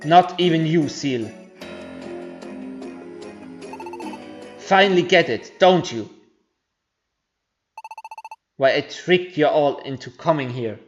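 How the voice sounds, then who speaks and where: A man's voice: on the high side, expressive, a little slow, long pauses, lo-fi sound. A man voicing a smug, taunting villain creature with a folksy drawl in a game story, with music behind him. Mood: menacing. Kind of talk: voiced video game story playthrough.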